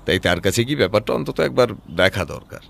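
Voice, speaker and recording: An actor playing a composed, analytical private detective in an audio drama, reasoning aloud in a measured way. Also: male voice, fairly low pitch, expressive, vocal fry, exaggerated voice.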